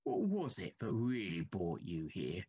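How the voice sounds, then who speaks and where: A man playing a humble, haunted Victorian railway signalman in an audio play, speaking gravely and haltingly with deference. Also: sits low, wildly swooping pitch, mellow, muffled recording, full character voice. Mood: grave, ominous.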